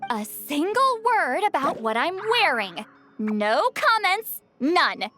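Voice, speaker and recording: An actress voicing a proud former commander who is guarded, wry and quick to get flustered, in video game dialogue. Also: female voice, high-pitched, sing-song, bright, forceful tone, full character voice. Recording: game audio over faint music.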